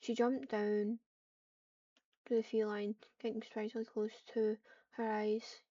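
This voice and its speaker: A woman reading out story roleplay in a murmured, halting way as she narrates a game. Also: a little high, level tone, dark tone, no echo. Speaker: roleplay gamer. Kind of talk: narrating and voicing typed online roleplay. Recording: muffled, distant mic, keyboard typing.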